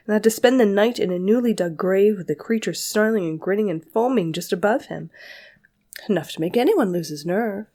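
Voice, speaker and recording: A woman reading the lines of a self-possessed teenage girl who tells a grim tale in a calm, matter-of-fact way. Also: medium pitch, close to an everyday voice.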